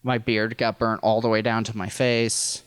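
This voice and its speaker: A man's voice: lowish voice, flat delivery, moderate pace, fairly bright tone, smooth, no echo. An American man from rural Missouri telling a personal story casually as a podcast guest, in a wry, self-deprecating, profane way. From rural Missouri, United States.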